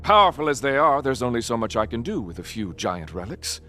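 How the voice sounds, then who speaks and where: A man's voice: mid-range voice, big pitch swings, a little fast, bright, forceful tone, soft background music. A man voicing a suave, scheming, grandiose villain in a video game, theatrical and condescending. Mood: smug boasting.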